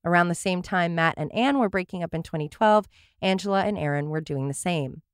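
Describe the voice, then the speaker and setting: A woman's voice: on the low side, fairly quick, clean tone, dry recording, studio quality. A woman hosting a true crime podcast, reading her case notes with wry, sarcastic asides. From Portland area, USA.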